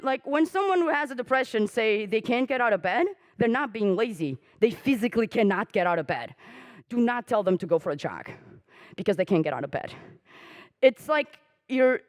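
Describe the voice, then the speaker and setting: A young adult woman whose first language is Portuguese, giving a candid, self-mocking conference talk on stage, swearing casually and acting out quoted conversations. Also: no echo. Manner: acts out quoted dialogue with jumps in pitch. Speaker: conference speaker.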